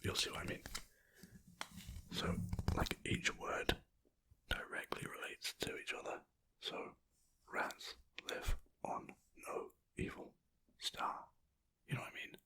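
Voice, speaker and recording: An ASMR creator speaking in a soft, unvoiced whisper close to a sensitive mic, rambling and poking fun at themself while reading lines aloud. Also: takes it slowly, rough recording.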